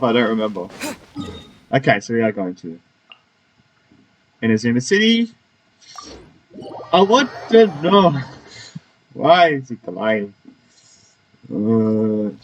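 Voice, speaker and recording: Animated male gamer commentating casually. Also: medium pitch, a little hiss or hum, low-quality recording. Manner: informal, muses aloud, reacting to the game. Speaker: gamer, streamer. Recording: game music faintly behind.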